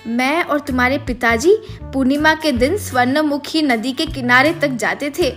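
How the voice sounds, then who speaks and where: A sentimental, devoted mother in an animated tale, played by a woman. Her voice is high and motherly. Music plays behind her. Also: normal speed. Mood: wistful. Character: ailing, grateful mother devoted to her son.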